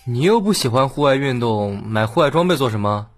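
A man's voice: mid-range voice, lively intonation, a little fast, faint music behind, pitched above the performer's own voice, close to an everyday voice. A man voicing a gullible, eager young male office colleague in an audiobook. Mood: wary.

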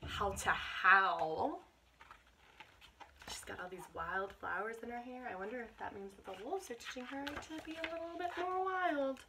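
An American woman in her twenties reads a picture book aloud to children with asides, in an expressive, encouraging manner. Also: fairly high pitch, moderate pace, rough recording. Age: 20s. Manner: expressive, encouraging, addressing young listeners. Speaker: teacher reading aloud to children. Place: small bedroom indoors. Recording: clear background noise, home camera microphone.

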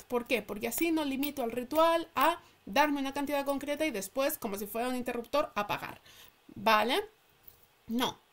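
A woman with a Castilian Spanish accent speaks in a very high voice. She gives an informal, chatty announcement as an online host. Her delivery is emphatic, with questions she answers herself. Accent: Spanish from Spain. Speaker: tarot reader and channel host. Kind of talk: casual chatty announcement to followers. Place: indoors at a table.

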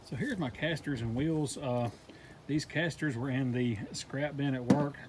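A man hosting a DIY welding channel, calmly narrating his workshop build step by step with a few fillers, recorded outdoors in a backyard. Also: medium pitch, measured pace, heavy background noise. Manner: plain, practical step-by-step explaining with fillers.

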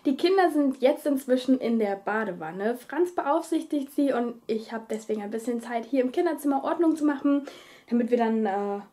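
A woman's voice: a little high, expressive, moderate pace, dark tone. A woman, chatting casually for a family vlog at home. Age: 20s. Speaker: family vlogger and mother. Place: children's bedroom at home.

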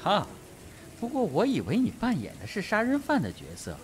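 An actor playing a flippant army captain with sardonic humour in a radio drama. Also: male voice, sits high, wildly swooping pitch, moderate pace, faint music behind.

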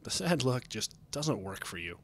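A man voicing a friendly, caring Pegasus stallion with a sweet, expressive manner. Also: medium pitch, moderate pace, creaky, slight background noise, pitched above the performer's own voice. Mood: gentle, apologetic.

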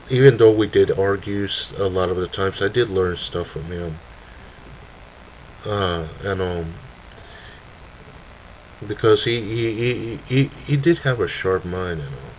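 Young male creator, low voice, hesitant and full of restarts, desk webcam in a dark room. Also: slight background noise, low-quality recording. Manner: halting, many fillers and restarts. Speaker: video creator. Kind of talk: casual webcam announcement. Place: dim room indoors.

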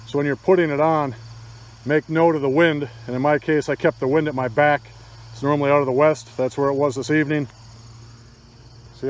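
Older man explaining outdoors among crickets. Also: on the high side. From Michigan, USA. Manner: plain, practical, matter-of-fact explaining. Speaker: DIY hunting vlogger. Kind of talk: casual how-to narration. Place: outdoors in a rural field. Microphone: phone camera held while walking. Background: crickets and insects.

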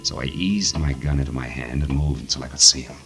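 An American actor playing a sardonic, hard-boiled private detective in 1940s radio noir, with clipped, wisecracking delivery. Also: male voice, rumbling low, quick pace, music in the background. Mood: tense narration. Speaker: lead actor and narrator. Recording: noisy vintage 1940s radio recording.

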